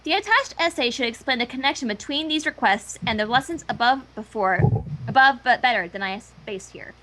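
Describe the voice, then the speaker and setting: A woman plays a studious young female cartoon pony in a fan story. She reads her letter in a high voice, earnest and polite, with formal phrasing. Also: expressive, brisk, background noise, close to an everyday voice.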